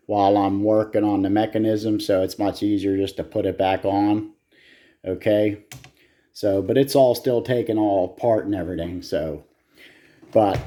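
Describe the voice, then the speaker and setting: A man's voice: fairly low pitch, mellow. A man giving casual, hands-on repair commentary in a blunt, salty way, swearing freely as he goes. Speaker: repair video host.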